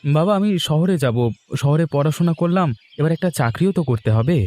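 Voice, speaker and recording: A man's voice: a little high, animated, brisk, a little mellow, slight background noise, natural voice. A man playing an eager young graduate son in a cartoon, with an insistent, coaxing way of talking. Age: young adult. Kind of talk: Bengali moral-story cartoon dubbing.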